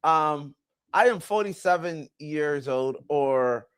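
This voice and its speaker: Guy in his 40s streaming, rambly, keeps talking to chat, slightly high voice, dry mic. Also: takes it slowly, smooth, no room sound. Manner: rambling, talks to chat, self-correcting. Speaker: livestream host. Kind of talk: casual livestream commentary.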